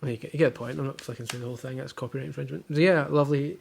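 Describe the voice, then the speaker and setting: A young Scottish man talks casually from his living room, dropping dry jokes as he goes. His voice has a gravelly rasp, and faint noise hangs behind him. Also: mid-range voice, fairly even intonation, rapid-fire. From Scotland. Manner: dry jokey asides. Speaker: vlogger unboxing a baby box. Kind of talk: casual chat.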